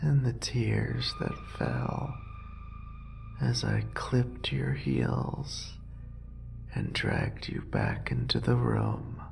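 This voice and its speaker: A man playing an obsessive, murderous, possessive lover in a horror roleplay audio drama, with a breathy, gravelly voice and lingering repetitions. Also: medium pitch, big pitch swings, slight background noise, exaggerated voice. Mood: obsessive, crooning. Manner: breathy whispering, drawn-out sighs, lingering repetitions.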